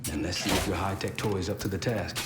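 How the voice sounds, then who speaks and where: An actor playing a tough, wry special-ops field agent in a video game, talking in a blunt, clipped way. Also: male voice, low voice, fairly even intonation, quick pace, very noisy, close to an everyday voice. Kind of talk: video game cutscene acting. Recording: dialogue under loud action score.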